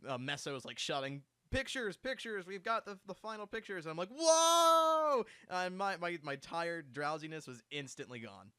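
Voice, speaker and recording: A man's voice: high voice, normal speed, few pauses, clean tone, dry recording, clean studio sound. A young man co-hosting a livestream, telling stories eagerly with many restarts. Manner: excitable storyteller, restarts a lot. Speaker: channel co-host. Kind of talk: casual livestream chat.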